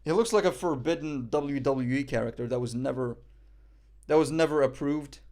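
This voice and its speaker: A man's voice: medium pitch, moderate pace, a little mellow. A young man from Morocco, casually commenting on a boxing event on a live stream, rambling and joking with frequent swearing and fillers.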